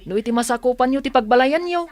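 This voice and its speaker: A woman's voice: high voice, lively intonation, fast, heavy background noise. A woman voicing a small, blunt, nosy village child in a radio comedy-drama, with an Ilocano accent. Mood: argumentative.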